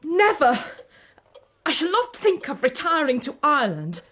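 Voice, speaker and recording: A woman's voice: sits high, sing-song, a little slow, fairly bright tone, exaggerated voice. An actress playing a vain, stubborn middle-aged aristocratic mother, fretful and melodramatic, in a radio drama. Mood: stubborn, distressed.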